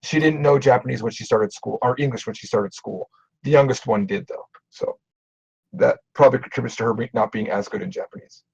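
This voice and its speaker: A middle-aged man with a gravelly, husky voice talks over a video call in a loose, animated way, laughing at himself. The sound is muffled and lo-fi, like a streamed call. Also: mid-range voice, fairly quick. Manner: animated, self-deprecating, swears casually. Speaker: Japanese teacher and show host. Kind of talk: casual livestream conversation.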